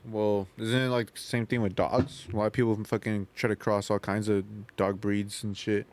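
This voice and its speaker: A man's voice: on the low side, flat delivery, normal speed. A man in casual podcast banter, slangy and profane. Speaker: podcast co-host. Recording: noisy, with clicks.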